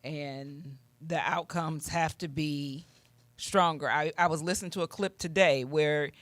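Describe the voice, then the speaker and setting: A woman's voice: low-pitched, fairly even intonation, a little slow, no room sound. An American woman co-hosting a talk show, chatting casually and giving opinions with emphatic repetition.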